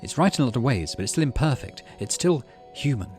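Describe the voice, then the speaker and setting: Man playing young female scientist, game character, earnest and troubled, pitched up, music behind. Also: sing-song, fast, darkish tone, close to an everyday voice. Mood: bitter, upset.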